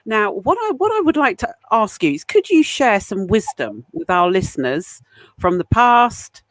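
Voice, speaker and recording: Gushing woman host with a lively voice. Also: medium pitch, moderate pace, no echo. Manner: effusive, gushing reactions. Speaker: podcast host. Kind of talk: warm interview chat.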